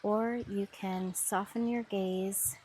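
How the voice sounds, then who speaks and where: Slow soothing woman guiding meditation. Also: medium pitch, fairly even intonation, a little hiss or hum. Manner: slow, soft, soothing instructions. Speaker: meditation guide. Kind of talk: guided meditation.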